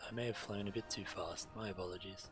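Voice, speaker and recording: A low-pitched male game streamer reads quest text aloud with a measured, deliberate delivery. Fantasy game music plays clearly behind him. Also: steady tone. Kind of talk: reading quest text aloud while playing.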